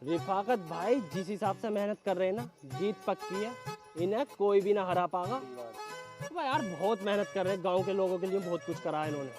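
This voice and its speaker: A man's voice: high voice, brisk, lightly acted. A man acting an eager, loyal village election supporter in a comedy skit. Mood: admiring. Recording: music and crowd noise behind.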